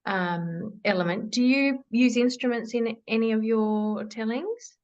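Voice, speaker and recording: A woman's voice: fairly high pitch, measured pace, a little mellow. Woman podcast host, encouraging, asks follow ups, kinda dull lo-fi audio. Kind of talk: casual interview.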